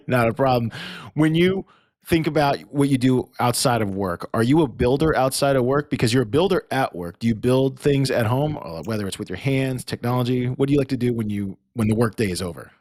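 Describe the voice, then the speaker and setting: Chatty guy hosting a podcast, informal and effusive, mid pitch, really dry sound. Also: expressive, a little fast, darkish tone, no room sound. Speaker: podcast host. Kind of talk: casual conversational interview.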